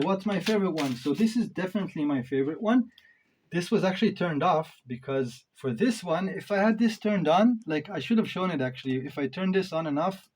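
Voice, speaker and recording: A man's voice: fairly high pitch, darkish tone, poor audio. A man giving a casual hands-on gear review, thinking aloud in an informal way with emphatic stresses. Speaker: reviewer of modular synth gear.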